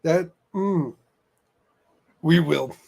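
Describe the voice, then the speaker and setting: A man with a slightly high voice hosts a relaxed fan chat. He speaks haltingly, often searching for his words as he goes. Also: creaky, slightly rough. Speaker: podcast host.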